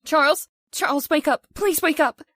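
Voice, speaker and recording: Casting: actress as fretful, meek wife in radio horror, very high voice, hesitant and pleading. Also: female voice, normal speed, fairly bright tone, no echo, clean studio sound. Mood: frightened, pleading. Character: timid, anxious wife pleading with her husband. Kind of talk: old-time radio horror acting.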